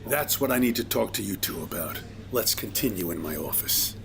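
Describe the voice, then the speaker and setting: A man's voice: on the low side, lively intonation, fairly quick, gravelly, loud music behind the voice. A man acting as a businesslike police captain in charge, in an audio crime drama. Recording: faint background noise.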